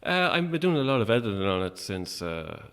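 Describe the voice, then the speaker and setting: A man's voice: mid-range voice, sing-song, brisk, fairly bright tone, clean tone. An Irish man chatting casually as an interview guest, a little hesitant and self-effacing. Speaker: guest, playwright.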